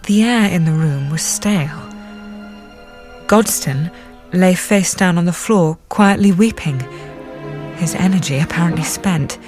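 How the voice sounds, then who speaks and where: A woman's voice: on the low side, unhurried, darkish tone, faint music behind, lightly acted. An actress playing an earnest, composed woman doctor with a British accent, speaking precisely in a radio drama. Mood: sombre. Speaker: radio drama actor, narrator character. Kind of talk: radio drama dialogue and first-person narration.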